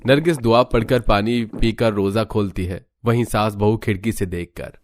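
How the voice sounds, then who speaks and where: Low-voiced man narrating a story. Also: moderate pace, slight background noise. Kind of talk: scripted cartoon story narration.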